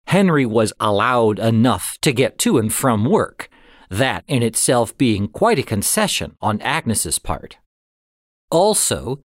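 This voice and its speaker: A man reading a short story aloud as an audiobook narrator, with an expressive, suspenseful storytelling delivery. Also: mid-range voice, no echo, clean studio sound. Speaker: audiobook reader.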